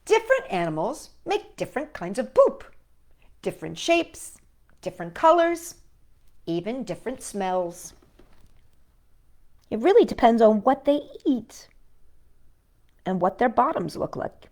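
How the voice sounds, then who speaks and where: A woman's voice: a little high, big pitch swings, measured pace, pauses often, darkish tone. A woman hosting a children's storytime, reading aloud and chatting playfully with young listeners, often asking them questions. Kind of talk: children's picture book read-aloud with chatty asides.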